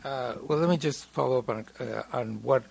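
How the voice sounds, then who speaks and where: A man, speaking, gives measured, hesitant remarks on a discussion panel, with frequent fillers and restarts. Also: mid-range voice, creaky, a little mellow. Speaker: panelist on foreign policy.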